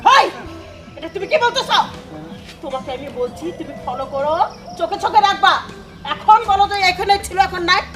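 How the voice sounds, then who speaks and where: A woman playing a bossy, excitable rich grandmother with a Bangladeshi accent, scolding and commanding in a web drama. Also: sits high, natural voice. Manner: scolding, commanding, mixes in English phrases. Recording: music over outdoor dialogue.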